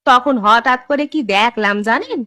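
Woman acting a higher-pitched earnest villager. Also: expressive, moderate pace, pushed and bright, no room sound, clean studio sound. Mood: gossiping. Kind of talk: Bengali cartoon dialogue.

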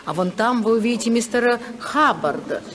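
An actress playing a poised, teasing, rebellious society hostess in a 1950s radio drama. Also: female voice, on the high side, expressive, brisk, a bit bright. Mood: teasing, intense. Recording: 1950s radio, chattering crowd behind.

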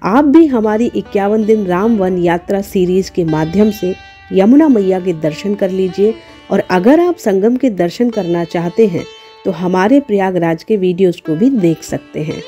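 A woman's voice: mid-range voice, animated, moderate pace, mellow, faint music behind. A woman narrates a travel vlog, devotional in manner and speaking straight to viewers.

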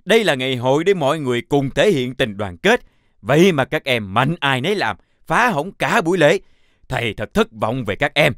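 A man's voice: medium pitch, very animated, moderate pace, a bit bright, dry recording, clean studio sound. A man voicing a stern but forgiving older male schoolteacher in a children's cartoon, with theatrical sighs. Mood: stern, disappointed. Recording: music behind.

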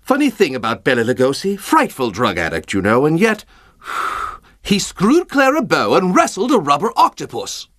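A man with an American accent voicing a cocky, wisecracking, lecherous gentleman thief in a flippant, joking way for a cartoon dub. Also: on the high side, wildly swooping pitch, moderate pace, exaggerated voice. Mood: wry. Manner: flippant, joking, exaggerated swings. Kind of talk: animated comedy dub acting. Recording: loud background music.

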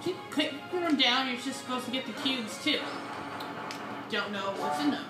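A woman's voice: high voice, animated, normal speed. A woman making casual conversation while playing a video game with someone. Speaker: let's play co-player. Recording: roomy sound, game music behind. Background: video game music.

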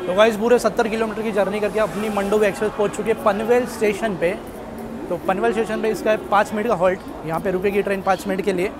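A man's voice: high-pitched, steady tone, quick pace. A man in his thirties, a travel vlogger chatting to viewers as he rides a train, filmed on a phone at arm's length. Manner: chatty, addresses viewers as guys. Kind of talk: casual train travel vlog. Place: train coach and station platforms. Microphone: phone held at arm's length. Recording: loud train and station noise.

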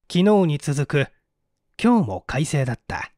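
A storyteller reads a literary mystery at an unhurried pace. The delivery moves widely in pitch, and the sound is close and dry. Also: big pitch swings, a little mellow, dry recording. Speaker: audiobook reader. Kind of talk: scripted literary narration of a mystery novel.

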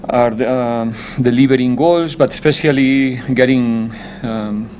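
A man, a football head coach, answering press conference questions in a measured, deliberate way, often pausing to find his words. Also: medium pitch, a little mellow, slight background noise.

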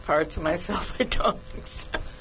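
A woman's voice: fairly low pitch, measured pace, fairly bright tone, a little hiss or hum, poor audio. An older woman in a tapping demonstration, repeating phrases after the leader and laughing often, seated in a small room on a lapel mic. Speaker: demonstration client.

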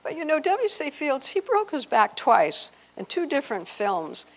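Older woman, medium pitch, informal talk, sentimental, affectionate, handheld mic. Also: sing-song, moderate pace, fairly bright tone. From southern California. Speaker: panel speaker at a fan convention. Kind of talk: informal public talk with reminiscence. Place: hotel conference room with small audience. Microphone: handheld microphone.

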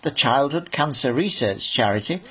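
A man's voice: mid-range voice, expressive, normal speed, narrow, tinny audio. A man reading scripted newsreel narration.

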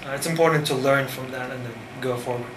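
A man in his thirties from Turkey, Turkish native, speaking English with a Turkish accent in a casual interview, pausing often with fillers. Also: mid-range voice, steady tone. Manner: thoughtful, halting with many fillers. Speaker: design firm founder. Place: cafe-like room with framed wall, indoors. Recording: echoey room with background noise.

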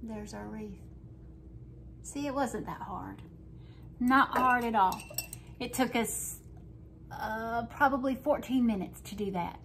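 A middle-aged woman with a Southern US accent talks viewers through her painting in a folksy, chatty way. Her voice sits a little high for a woman. A faint hiss of room noise hangs under the overhead phone recording. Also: takes it slowly, vocal fry, pauses often, fairly bright tone. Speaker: craft painting host. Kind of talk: casual painting tutorial. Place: home kitchen table, indoors.

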